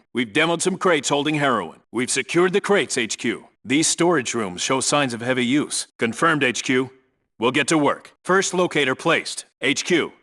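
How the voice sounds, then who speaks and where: Male actor as Navy SEAL commander for a military shooter game; bright, tense, authoritative, businesslike reports in a medium register. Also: medium pitch, natural voice. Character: no-nonsense male Navy SEAL team leader. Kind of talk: military radio reports and squad orders.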